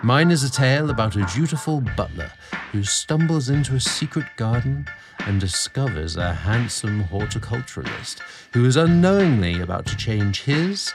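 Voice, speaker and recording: Expressive British male storyteller. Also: medium pitch. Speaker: podcast host and story narrator. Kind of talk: scripted story narration.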